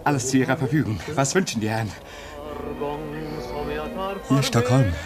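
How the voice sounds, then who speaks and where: A man's voice: medium pitch, moderate pace, over loud music, lightly acted. An actor playing a bitter, restless man at a polar station in a theatrical, sardonic German radio play. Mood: mocking merriment. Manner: theatrical, sardonic, repeats words.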